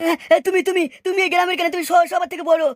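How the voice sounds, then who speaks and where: Woman voicing a very high-pitched, excitable cartoon husband. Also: rapid-fire. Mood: placating. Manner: emphatic, repetitive. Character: excitable, flattering village husband, cartoon man. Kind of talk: cartoon dialogue. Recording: noisy track with clicks.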